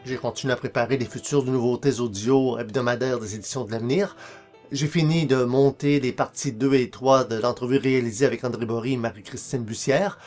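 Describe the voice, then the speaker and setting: A man's voice: mid-range voice, steady tone, a little fast, a little mellow, soft background music. A man with a Quebec French accent presenting a podcast as its host in a scripted narration style.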